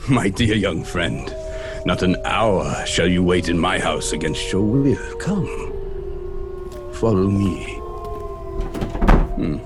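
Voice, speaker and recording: An ancient vampire count, deep voice that swings theatrically, menacing and formal, music underneath, horror audio drama. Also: male voice, animated, heavily acted. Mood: mock courteous. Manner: slow, formal, ominously polite.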